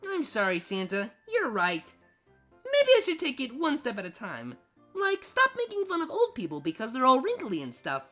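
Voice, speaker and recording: Ditzy, childlike cartoon girl, female actor, naive and earnest, high, swooping delivery. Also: wildly swooping pitch, moderate pace, fairly bright tone, faint music behind, exaggerated voice. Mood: apologetic. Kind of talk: storybook fan fiction reading.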